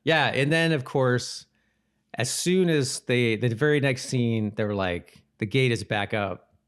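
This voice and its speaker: A man's voice: mid-range voice, unhurried, clean tone. A man co-hosting a podcast, chatting casually and informally about a TV episode. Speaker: podcast co-host. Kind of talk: casual chat reviewing a TV episode.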